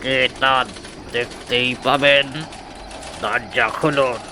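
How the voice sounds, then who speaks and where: An actor playing a grave, heavy-voiced ghostly night visitor who demands entry in a horror audio story. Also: male voice, steady tone, background noise, lightly acted. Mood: ominous. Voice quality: deep, heavy voice. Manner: slow, commanding.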